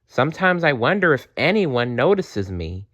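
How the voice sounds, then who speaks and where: A man's voice: medium pitch, big pitch swings, moderate pace, fairly bright tone, pitched above the performer's own voice. A man voicing a young schoolboy in a children's storybook, sweet, earnest and a little hesitant. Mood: unsure, wistful. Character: young schoolboy, mischievous but sweet and unsure.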